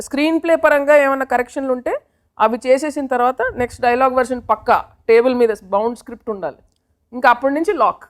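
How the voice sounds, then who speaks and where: A woman talking casually in an interview, slipping English phrases into her speech. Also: sits high. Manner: code-switches into English phrases. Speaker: film team member, interviewee. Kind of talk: casual interview talk about a film.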